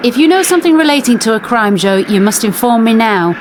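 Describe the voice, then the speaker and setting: An actor playing a brisk, demanding senior police officer in a radio drama. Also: female voice, fairly high pitch, expressive, background noise, close to an everyday voice. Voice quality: brisk, commanding.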